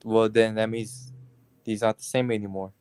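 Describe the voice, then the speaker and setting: A man's voice: lowish voice, little pitch movement, moderate pace, darkish tone, clear, resonant tone. A man talking casually as a guest caller in a live sports debate.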